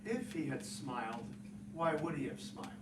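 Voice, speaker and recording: A man's voice: on the high side, lively intonation, normal speed. A man giving a dramatic reading from a literary text, posing short formal questions. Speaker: literary reader. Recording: echoey, distant mic, loud noise.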